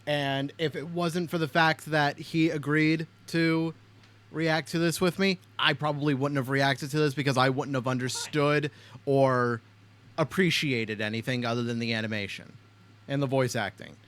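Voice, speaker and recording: A man's voice: mid-range voice, steady tone, normal speed, a bit bright. A man hosting a casual reaction video, talking bluntly and swearing a lot. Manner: blunt, heavily profane.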